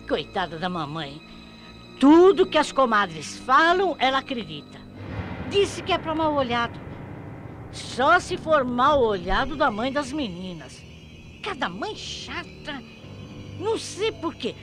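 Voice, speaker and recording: A performer playing a naive twelve-year-old boy in a radio mystery play, expressive and exclamatory. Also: sits high, slow pace, full character voice. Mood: grumbling. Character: twelve-year-old boy, grumbling, eager, easily frightened. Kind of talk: radio mystery drama acting. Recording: AM radio drama with loud music behind.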